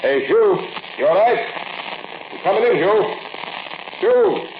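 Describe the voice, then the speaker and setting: An anxious gangster sidekick in an old radio play. His urgent delivery swings dramatically in pitch. The sound is echoey and muffled, with crackle. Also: huge pitch swings, takes it slowly, creaky, full character voice. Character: nervous male henchman with a small gun. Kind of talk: old-time radio crime drama acting.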